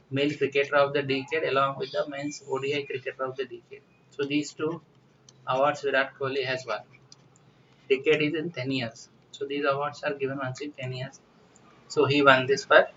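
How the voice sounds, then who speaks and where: A man's voice: medium pitch, sharp tone. Distant-sounding Indian man teaching. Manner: repetitive, instructive, with "you know" tags. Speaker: exam-prep teacher. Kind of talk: informal explanatory current affairs lecture. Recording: distant mic, muffled lo-fi, faint noise.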